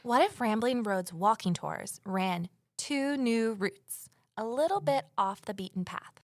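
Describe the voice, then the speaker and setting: An actress playing a determined, resourceful amateur sleuth in an audio drama, brisk and persuasive as she narrates and talks. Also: fairly high pitch, expressive, no echo, studio quality. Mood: eager, persuasive. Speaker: narrator and lead character.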